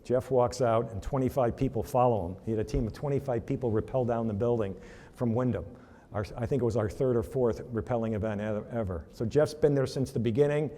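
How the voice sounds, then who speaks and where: A man's voice: mid-range voice, brisk, warm tone. A man giving a casual speech at a charity reception, telling stories over slides with fillers. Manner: casual storytelling with fillers, asks for next slide. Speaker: charity event presenter. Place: banquet hall. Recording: reverberant hall.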